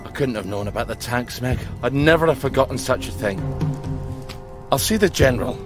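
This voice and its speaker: An actor playing a gruff, sweary, haunted ex-soldier, blunt and stubborn, in a video game. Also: male voice, medium pitch, expressive, fairly quick, music in the background, close to an everyday voice. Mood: pleading, shaken. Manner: blunt, profane, exclamatory.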